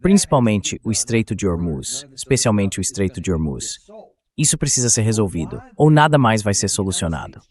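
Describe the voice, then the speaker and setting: A man's voice: on the low side, big pitch swings, a little fast, a little mellow. A man with a Brazilian accent reading a translated voice-over of political news commentary as the show's host.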